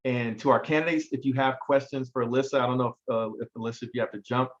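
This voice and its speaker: Fast-talking male host, slightly muffled. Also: medium pitch, fairly even intonation. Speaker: event host. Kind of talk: moderating a virtual event.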